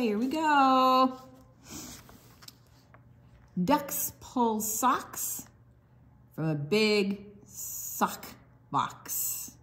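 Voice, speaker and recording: An older woman reading a children's picture book aloud in an expressive, deliberate sing-song way, with small asides to her young listeners. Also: on the high side, slight background noise.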